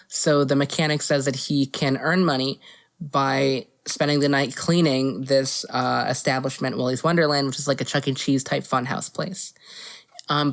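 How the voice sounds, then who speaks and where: Low voiced woman chatting on a movie podcast, blunt, swears a lot. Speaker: podcast co-host. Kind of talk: casual podcast chat about movies.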